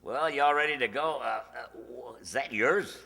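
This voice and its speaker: A man's voice: mid-range voice, moderate pace, vocal fry, natural voice. A man acting a brash, pushy young suitor in a radio comedy play, slangy and wheedling. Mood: eager. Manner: slangy, wheedling, stammers when thrown. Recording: echoey hall, faint noise.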